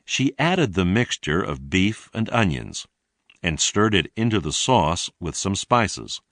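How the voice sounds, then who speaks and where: A man narrates an English lesson in a very low voice. He reads slowly and deliberately, enunciating each sentence for learners. His intonation moves up and down in a lively way. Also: dry recording. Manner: deliberate, clearly enunciated teaching delivery. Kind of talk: scripted read-along narration.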